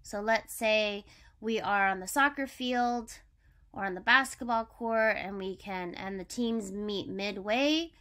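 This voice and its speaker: A woman's voice: medium pitch, a little slow, pushed and bright. A woman elementary school teacher giving a vocabulary lesson to children, explaining step by step with simple examples.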